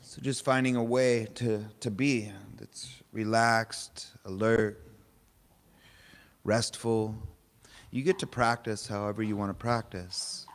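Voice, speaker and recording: A man leading a guided meditation, speaking in short, unhurried, gently informal phrases with pauses between them. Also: on the low side, flat delivery. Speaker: meditation teacher. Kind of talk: guided meditation, spoken softly to a group.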